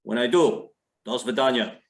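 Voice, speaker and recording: A man's voice: mid-range voice, moderate pace, lightly acted. A man acting a domineering Russian crime boss in readers theater, curt and commanding.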